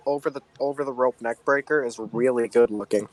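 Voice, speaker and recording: Male panelist, medium pitch, informal wrestling podcast talk. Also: fairly quick, no room sound. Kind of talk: casual wrestling chat.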